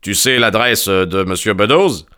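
A man voicing an older country gentleman in an audiobook, bluff and emphatic with an uneasy heartiness. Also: on the low side, measured pace, clean tone. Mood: forced heartiness.

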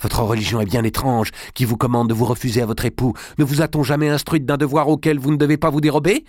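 A man reading an audiobook, voicing an anguished, hot-tempered young medieval king with a high voice that turns shrill in anger. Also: flat delivery, fast talker, a little mellow, no echo, studio quality, close to an everyday voice. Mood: furious. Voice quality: high, shrill when angry. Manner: pleading, then bursting into rage.

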